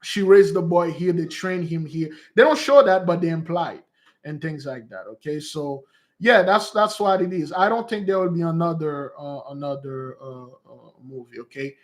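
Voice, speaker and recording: A man's voice: on the high side, lively intonation, moderate pace. A man teaching in a loose, rambling monologue who repeats his points and calls his listeners beloved. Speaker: preacher and teacher.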